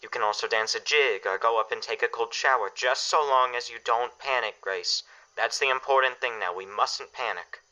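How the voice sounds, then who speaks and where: A man's voice: medium pitch, moderate pace, sharp tone. An actor playing a commanding father in a radio drama, firm and reassuring as he directs his family in a crisis. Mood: controlled, urgent. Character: steady, commanding family father preparing for disaster. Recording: dull, band-limited recording.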